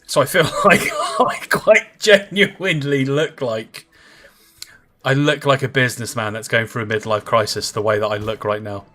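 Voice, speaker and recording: A man's voice: mid-range voice, fairly even intonation, normal speed, sharp tone, faint music behind. A man in his thirties chatting casually with viewers while he livestreams a game, rambling with lots of fillers and answering comments. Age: 30s.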